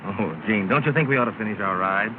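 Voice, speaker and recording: Through a thin, crackly old movie soundtrack comes a man acting a jealous gentleman suitor. His voice sits fairly low, and he speaks in a clipped, haughty way, in the style of early talkie romance. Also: fast, narrow, tinny audio, lightly acted. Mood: stiff, put out. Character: jealous, sulky well-off male suitor, curt with rivals. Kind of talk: 1930s film acting. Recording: scratchy, thin, noisy 1930 film soundtrack.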